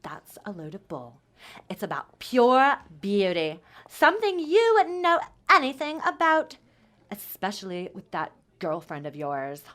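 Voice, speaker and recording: A woman's voice: medium pitch, huge pitch swings, a little slow, a bit bright. A woman playing a snooty, mean young pageant queen in an audio drama, sneering and peppering her talk with valley-girl likes. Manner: valley-girl filler "like", contemptuous.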